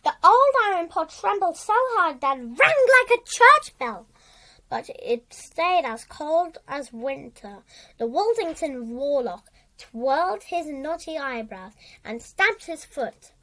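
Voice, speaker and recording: Slow, high-pitched female storybook reader. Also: very animated, bright, forceful tone, low-quality recording. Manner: halting, repeats and corrects words while reading. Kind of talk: reading a children's story aloud.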